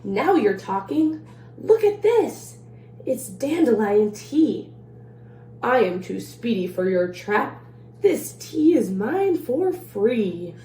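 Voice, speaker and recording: A woman plays a cocky, mischievous little leprechaun from a rhyming storybook. The voice is mellow and teasing, with a sing-song lilt, in an echoey room with faint noise. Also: a little high, big pitch swings, takes it slowly. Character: boastful, mischievous two-hundred-year-old male leprechaun trickster. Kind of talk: picture-book read-aloud in rhyme. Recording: roomy echo, faint background noise.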